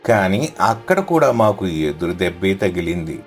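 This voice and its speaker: A man's voice: low voice, sing-song, normal speed, faint music behind. A man voicing a poor, devout villager in a moral story, earnest and humble. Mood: pleading. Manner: earnest, pleading.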